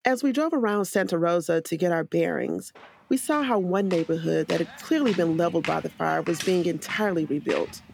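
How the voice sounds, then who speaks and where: A woman from Houston narrating a documentary in a measured, earnest scripted reading. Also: fairly low pitch, vocal fry, noisy background. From Houston, United States. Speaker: narrator and co-host.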